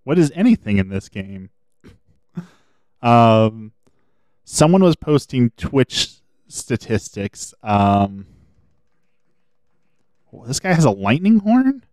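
A man streaming a video game, chatting casually and thinking aloud as he plays, his voice a little hoarse. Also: mid-range voice, sing-song, takes it slowly, long pauses, a little mellow, no room sound, clean studio sound. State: slightly hoarse. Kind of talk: casual gameplay commentary.

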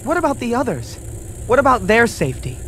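A man's voice: very high voice, wildly swooping pitch, fairly quick, fairly bright tone, slight background noise, full character voice. A man voicing a young male dragon hero in a video game, earnest, youthful and determined. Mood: anxious, frustrated. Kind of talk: video game cutscene acting.

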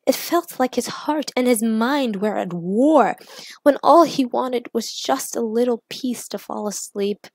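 Slow-paced woman narrating a story. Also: on the high side, no room sound. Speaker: narrator and author of fan fiction. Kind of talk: expressive storybook-style narration.

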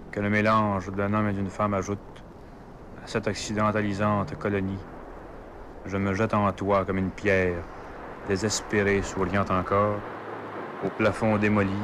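A man's voice: lowish voice, background noise. A man reciting a poem aloud. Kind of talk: spoken poetry recital.

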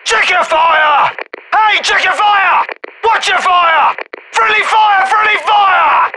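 A man's voice: extremely high pitch, slow, sharp tone, shouting, heavily acted, low-quality recording. An actor playing an embattled British SAS soldier in a shooter game, calling urgently to his squad in clipped phrases. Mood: urgent, strained. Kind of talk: military shooter battle chatter.

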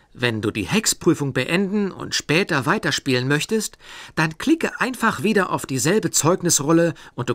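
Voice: medium pitch, a bit bright, no echo. A performer voicing a guide who explains the rules of a children's game in scripted instructions.

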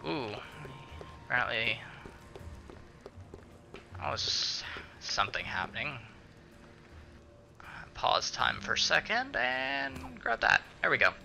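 A man's voice: medium pitch, sing-song, slow, creaky, pauses often, sharp tone, faint music behind. A man giving casual let's-play commentary, muttering to himself and swearing mildly as he plays. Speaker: gaming video host.

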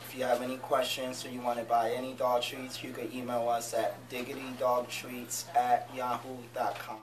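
A young man presenting in a small store, speaking in a plain, even way. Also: mid-range voice, normal speed. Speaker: dog treat program staff. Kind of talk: scripted on-camera presentation. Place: small convenience store and kitchen, indoors. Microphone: far camera mic. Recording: distant camera mic with clear background music.

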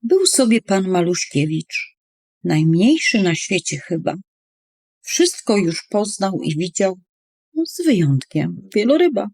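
A woman's voice: mid-range voice, sing-song, takes it slowly, darkish tone, breathy edge, dry recording, studio quality. A woman storyteller reading a children's poem expressively, with playful, dramatic emphasis on words.

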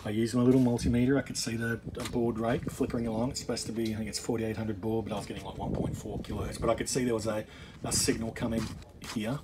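A middle-aged man giving a casual, off-the-cuff DIY walkthrough, halting and rephrasing as he explains, recorded on a handheld phone. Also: fairly low pitch, flat delivery. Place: on a boat. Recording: handheld phone recording, clear ambient noise.

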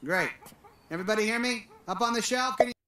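A man's voice: very high voice, fast talker, a bit bright, roomy sound. An actor playing a friendly, upbeat toy cowboy man who keeps eagerly checking in.

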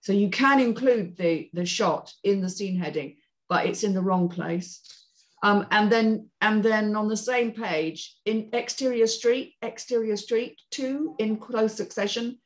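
A woman's voice: mid-range voice, measured pace, low-quality recording. A middle-aged woman giving an informal spoken critique as panel host, direct, emphatic and explanatory, on a video call from home. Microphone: video call computer microphone.

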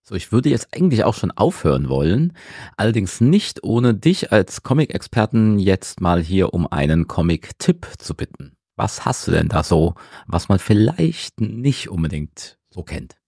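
A man's voice: on the low side, big pitch swings, a little slow, creaky, warm tone, breathy edge, dry recording, clean studio sound. A man hosting a podcast, interviewing his guest in a casual conversational style. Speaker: podcast host.

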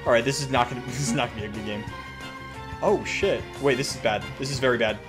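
An excitable young man giving casual, profane, slangy gameplay commentary, repeating himself as he goes. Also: mid-range voice, fast. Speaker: gaming YouTuber. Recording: music behind the voice.

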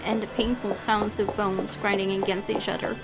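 A woman's voice: mid-range voice, flat delivery, moderate pace, over loud music, poor audio. A woman let's player reading horror game narration aloud, with casual reactions of her own.

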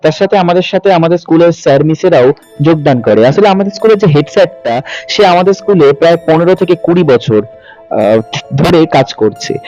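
A young man narrates a first-person ghost story in a suspenseful, conversational way, pausing for drama. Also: on the high side, mellow, highs cut off. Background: background music.

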